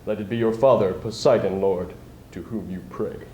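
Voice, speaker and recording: A man's voice: deep voice, steady tone, moderate pace, mellow, slight background noise, pitched below the performer's own voice, natural voice. A man voicing a sleep-deprived neighboring Cyclops in a dramatic poetry recitation, calling out in a declamatory way. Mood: resigned. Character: band of neighboring male Cyclopes, gruff, sleep-deprived giants. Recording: echoey hall recording.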